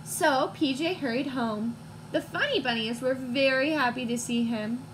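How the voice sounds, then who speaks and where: A woman in her twenties reading a picture book to young children, expressive and teacherly, pausing to put questions to listeners. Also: high voice. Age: 20s. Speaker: read-aloud storyteller for young children. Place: small room at home, indoors. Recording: clear background noise, home recording.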